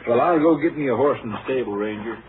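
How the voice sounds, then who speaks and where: A narrow-band old radio recording with faint noise holds a man's voice of middling pitch. It is an actor as a folksy, helpful western sheriff, plain in his speech. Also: fast, lightly acted. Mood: matter-of-fact. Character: plain-spoken, helpful male county sheriff. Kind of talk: 1950s radio western acting.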